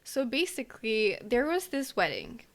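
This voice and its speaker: A woman's voice: a little high, huge pitch swings, moderate pace, gravelly. A woman co-hosting a podcast, casually retelling an old story with lots of hedges and fillers. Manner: rambling, hedging retelling with fillers. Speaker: podcast co-host. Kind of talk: casual chat.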